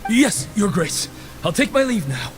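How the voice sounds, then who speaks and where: An actor playing a hesitant, deferential prison underling in a video game, contrite in manner, with music behind him. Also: male voice, high voice, very animated, unhurried. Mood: regretful, deferential. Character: nervous, apologetic, deferential male prison underling.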